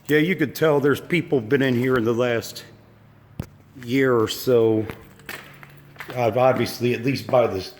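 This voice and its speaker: Male explorer vlogger, mildly congested froggy voice, unhurried folksy off-the-cuff remarks, some noise behind. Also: medium pitch, fairly even intonation. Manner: halting, musing, folksy. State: slightly froggy from allergies. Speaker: urban exploration video maker. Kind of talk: casual unscripted walk-through commentary. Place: walking around an abandoned park site.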